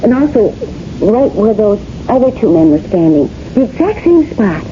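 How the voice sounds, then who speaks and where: An actress playing a helpful, hesitant female museum employee in a radio drama, halting and repeating her words. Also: mid-range voice, very animated, natural voice. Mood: earnest. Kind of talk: 1970s radio drama acting. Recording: dull, noisy 1970s radio recording.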